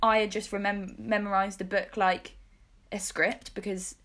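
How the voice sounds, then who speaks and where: A young British woman in her early twenties hosts a relaxed podcast chat. Her voice sits at an ordinary middle pitch, and she talks informally, often correcting herself as she goes. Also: normal speed. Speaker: podcast host. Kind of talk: casual chat.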